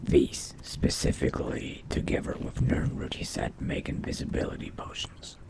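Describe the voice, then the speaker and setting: A man playing a male dark elf vampire mage in a video game roleplay, speaking in a hushed, deliberate, brooding way. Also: natural voice. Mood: muttering, hushed. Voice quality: husky, whispery, gravelly. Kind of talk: in-character game roleplay monologue.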